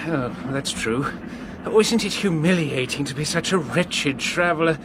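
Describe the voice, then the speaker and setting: An actor playing a feeble, apologetic, henpecked vicar in a radio drama. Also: male voice, a little high, lively intonation, moderate pace, close to an everyday voice. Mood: sorry, wretched. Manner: meek, faltering, apologetic. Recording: clear train noise behind.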